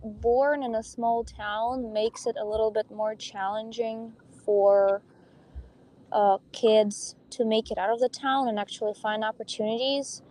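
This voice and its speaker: Young woman, higher voice. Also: steady tone, a little slow, mellow, low-quality recording. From Russia. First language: Russian. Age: young adult. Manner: open and chatty, with light laughs and filler ums. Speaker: guest, professional pickleball player. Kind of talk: podcast interview.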